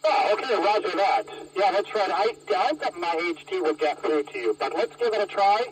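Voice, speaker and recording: A man chatting casually and a little haltingly over two-way radio as an amateur operator. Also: high-pitched, lively intonation. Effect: heard over two-way radio. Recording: distant, noisy handheld radio transmission.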